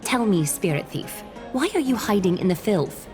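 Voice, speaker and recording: A woman's voice: medium pitch, animated, moderate pace, close to an everyday voice. A woman voicing a cold, shrewd demigod noblewoman in a video game, formal, commanding and dryly sardonic. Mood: stern, suspicious. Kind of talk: dramatic game character voice acting. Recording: music under the voice.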